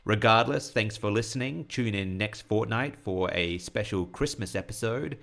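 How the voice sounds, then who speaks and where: Man with a lowish voice reading out horror stories, measured literary delivery, podcast narrator type. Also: vocal fry, fairly bright tone. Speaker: author and narrator of an audio horror story podcast. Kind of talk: scripted horror story narration.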